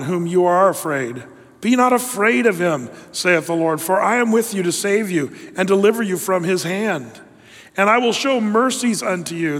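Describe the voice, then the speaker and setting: A man's voice: high voice, in a large hall. A man preaching a sermon, reading scripture aloud and acting out the quotes emphatically. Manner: emphatic, acts out quotes in lively voices. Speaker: pastor.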